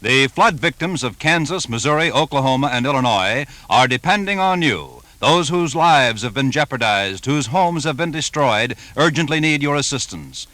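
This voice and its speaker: A man's voice: medium pitch, animated, normal speed, few pauses, bright, forceful tone, no echo. A man with an American accent reading scripted announcements in a 1950s radio announcer style. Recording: 1950s radio recording, faint music.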